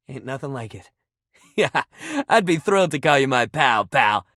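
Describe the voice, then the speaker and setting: An actor playing a sports-obsessed, warm-hearted young jock pal in a video game, with exuberant, slangy bro talk. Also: male voice, mid-range voice, expressive, a little slow, no room sound, clean studio sound. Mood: friendly, cheerful. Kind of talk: video game character acting.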